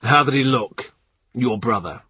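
A man reading the lines of a calm, guarded middle-aged statesman in his own quiet voice. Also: medium pitch, slightly rough, no echo, full character voice. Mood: measured. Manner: quiet, measured.

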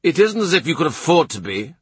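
A man voicing a blustering, hot-tempered middle-aged English duke in an audiobook, with loud, abrupt, scornful outbursts and a husky voice. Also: on the high side, very animated, fast talker, no room sound, voice pushed up, exaggerated voice. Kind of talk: audiobook character reading.

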